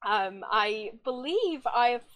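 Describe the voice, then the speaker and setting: A woman's voice: on the high side, expressive, slow pace, a bit bright. A woman co-hosting a casual podcast chat, teasing and laughing often as she reviews songs. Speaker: podcast co-host.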